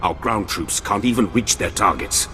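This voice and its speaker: A man's voice: lowish voice, moderate pace, bright, forceful tone. Male special forces captain for a game: formal, dutiful, echoing space, music behind. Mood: urgent, formal. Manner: clipped, deferential military reporting. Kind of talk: dramatic video game acting. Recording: long reverb under music.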